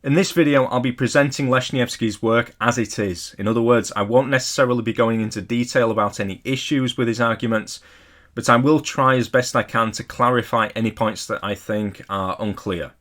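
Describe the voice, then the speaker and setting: A man narrating a scripted lecture as an amateur hobbyist video host, in a measured, careful and modest explanatory manner. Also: medium pitch, a bit bright. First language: not Polish; English speaker.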